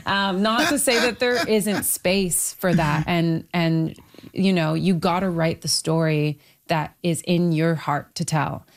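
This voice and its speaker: A woman's voice: on the low side, slow pace, slight background noise. A woman talking casually about books in a reflective, candid and emphatic way. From Toronto, Canada. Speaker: book club member on a discussion panel. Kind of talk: casual book discussion.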